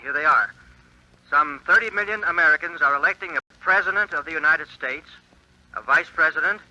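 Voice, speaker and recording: An actor playing an earnest 1920s radio election announcer, reading returns in a formal, measured way, in a 1940s radio drama. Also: male voice, a little high, sharp tone. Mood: announcing. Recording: dull lo-fi 1940s radio recording.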